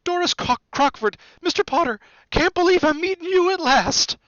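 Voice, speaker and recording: Man putting on a gushing, very high-pitched flustered woman. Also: lively intonation, normal speed, pushed and bright, dry recording, lo-fi sound, heavily acted. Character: flustered, adoring woman pub-goer.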